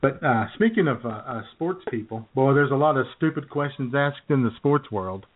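A man's voice: medium pitch, expressive, moderate pace, slight background noise. Guy cohosting a goofy podcast, rambly jokey stories, tinny phone sound. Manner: joking, rambling storyteller. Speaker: podcast co-host. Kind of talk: casual comedic chat.

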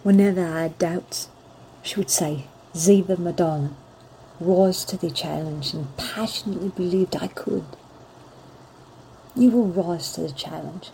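A woman's voice: lowish voice, dark tone, slight background noise, rough recording. A woman reading an audio drama scene in an encouraging manner.

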